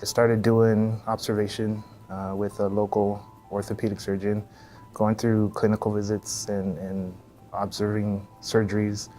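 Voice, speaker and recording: A man's voice: fairly low pitch, little pitch movement, measured pace, mellow, soft background music. A middle-aged man from Texas with an American accent, giving casual interview answers as a physical therapist. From El Paso, Texas, USA.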